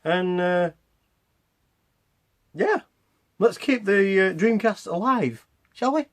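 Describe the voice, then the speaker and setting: A man's voice: sits high, lively intonation, slow pace. A man hosting a game review with casual, rambling, self-deprecating commentary as he plays. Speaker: video game reviewer and channel host.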